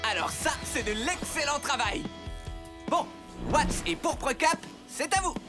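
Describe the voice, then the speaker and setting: Upbeat female mentor for animation, expressive, instructive delivery with clear background music. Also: high voice, measured pace. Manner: encouraging, instructive. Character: upbeat, encouraging female mentor to child superheroes. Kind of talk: animated cartoon dubbing. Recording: music behind the voice. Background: cartoon music.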